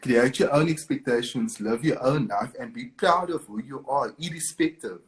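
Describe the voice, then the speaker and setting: A man's voice: medium pitch, moderate pace. Earnest Cape Town man on a video call. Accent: Cape Town South African. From Cape Town, South Africa. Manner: earnest, encouraging. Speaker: interview guest. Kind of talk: live video interview.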